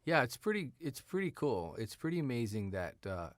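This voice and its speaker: A man's voice: mid-range voice, very animated, moderate pace, no room sound. A man talking casually as a writer and actor being interviewed, in an informal, conversational way. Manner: informal, often checks with "you know". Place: sound studio.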